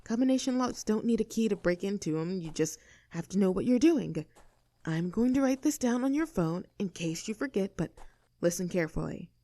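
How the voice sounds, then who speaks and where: A woman's voice: mid-range voice, lively intonation, normal speed, warm tone, natural voice. A woman voices a sassy young female troublemaker in an audio roleplay, with a mid-range voice. She is bossy and teasing, sure of herself, and speaks right to the listener in a very dry, echo-free recording. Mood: confident, instructing. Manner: snarky, bossy, teasing, self-assured. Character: sassy rebellious mean girl, cocky young troublemaker.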